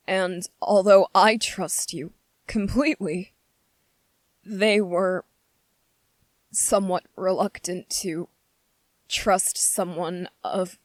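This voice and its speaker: A woman voicing a gentle, anxious young prince in a fantasy ASMR roleplay, speaking politely and formally with hesitant, halting phrasing. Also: fairly low pitch, no echo. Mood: careful, hesitant. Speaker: voice actor in audio roleplay. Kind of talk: ASMR character roleplay, scripted fantasy monologue.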